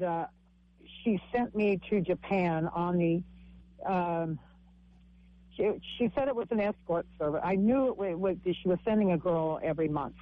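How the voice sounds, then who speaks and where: A woman with a husky voice, a comic and author telling her story haltingly in a radio interview, with frequent restarts. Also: highs cut off. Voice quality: husky, low-sounding voice. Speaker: guest author and stand-up comic.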